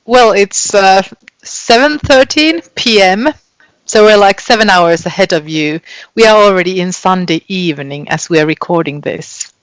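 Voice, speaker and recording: A woman whose Finnish accent carries through her careful English, talking patiently like the school teacher she is. She speaks over a video call from her kitchen in a dry, close sound with no room ring. Her pitch sits in an ordinary middle range, and she often pauses on "like" to find her words. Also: dry recording, poor audio. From Finland. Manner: patient, explanatory, frequent "like" fillers and restarts. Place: home kitchen.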